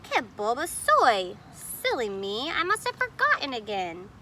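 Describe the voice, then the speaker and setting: An easygoing, forgetful storybook grandmother, voiced by a woman reader, expressive and breezy. The voice is bright and fairly high, with very lively intonation. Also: unhurried, a little hiss or hum, heavily acted. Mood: puzzled, self-chiding.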